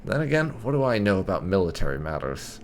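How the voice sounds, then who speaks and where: A man's voice: low voice, very animated, fast talker, a little hiss or hum. A man giving a roleplay playthrough of a video game, with wry, chatty asides. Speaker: gaming YouTuber. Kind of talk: roleplay let's play commentary.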